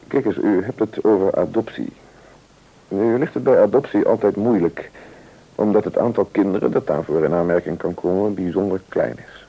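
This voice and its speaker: A careful, businesslike official in a Dutch radio play. His voice is very deep, dark and full of creak. He explains things formally and cautiously, with a Netherlands Dutch accent. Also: normal speed, full character voice, rough recording. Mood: measured, cautious. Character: sober, cautious male adoption official.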